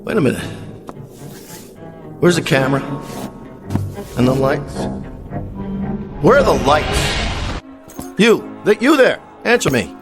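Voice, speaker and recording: Pompous, whiny TV cowboy star. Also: male voice, a little high, big pitch swings, slow pace, long pauses. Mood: indignant, bewildered. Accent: American. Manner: whiny, bullying, self-important. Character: pompous, petulant, vain television cowboy star, a man. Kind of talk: radio drama acting. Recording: faint music behind the voice.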